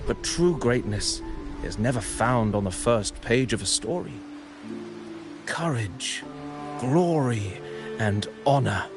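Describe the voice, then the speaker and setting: An actor playing a composed, eloquent poet-storyteller in a video game, narrating an epic in a measured, musing, theatrical way. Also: male voice, medium pitch, expressive, music in the background. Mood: solemn, lyrical. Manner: measured, deliberate, philosophical and poetic delivery.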